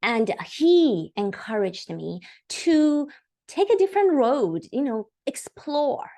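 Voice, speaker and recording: A woman's voice: high-pitched, sing-song, takes it slowly, mellow. A middle-aged woman from Iran, a podcast guest telling her career story in an enthusiastic, chatty way with fillers. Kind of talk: podcast interview.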